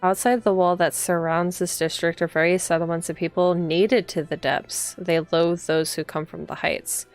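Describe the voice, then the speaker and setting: A woman's voice: on the low side, level tone, moderate pace, few pauses, faint music behind, natural voice. A woman reading the part of a proud, coldly cruel male prison officer in a visual novel, formally. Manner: formal, passive-aggressive.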